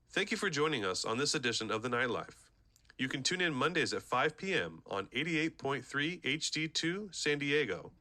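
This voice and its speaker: A man's voice: medium pitch, sharp tone. A man hosting a radio show, reading a scripted outro to the listeners.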